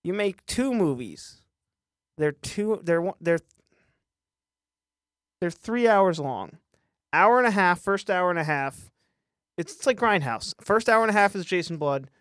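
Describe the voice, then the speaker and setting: High pitched guy joking around with his buddies on a talk show, excitable, dry sound. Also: a little slow, long pauses, dry recording. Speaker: podcast co-host. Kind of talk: casual bantering chat.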